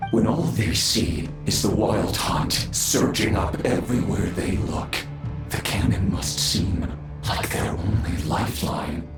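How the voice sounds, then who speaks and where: Arrogant undead sinner, very low voice, slow menacing delivery, condescending, theatrical video game villain. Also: male voice, reverberant, over music. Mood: smug, scornful.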